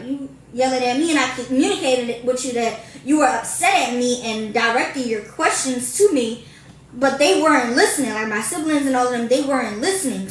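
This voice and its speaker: An eighteen-year-old woman talks in a reflective, rambling way and fills her sentences with "like". Her voice sits high. It comes through an echoey room with faint background hiss. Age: young adult. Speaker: podcast co-host, daughter. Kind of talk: casual chat.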